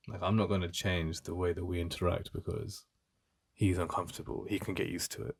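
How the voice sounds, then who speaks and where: A man with an English accent playing a jealous, protective best friend in an intimate ASMR roleplay, speaking in a halting, conversational way with emphatic repetition. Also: little pitch movement, creaky, slightly rough, full character voice. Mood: defensive. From England. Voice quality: deep.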